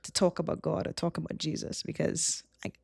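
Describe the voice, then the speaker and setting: A woman's voice: low voice, a little fast, vocal fry, no echo, studio quality. A woman with a Trinidadian accent, a former youth pastor chatting casually as a podcast guest and telling stories with animation. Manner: animated storytelling, laughs often.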